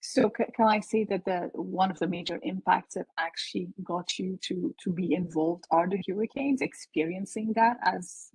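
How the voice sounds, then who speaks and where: A woman professor moderating an interview, asking questions haltingly with many restarts. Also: lowish voice, a little mellow, breathy edge, dry recording, rough recording.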